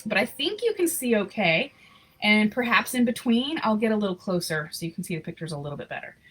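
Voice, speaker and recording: A woman reading a picture book aloud in an expressive storytelling style, with casual, informal asides. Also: mid-range voice, fast, husky, faint music behind. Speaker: reader of picture books aloud.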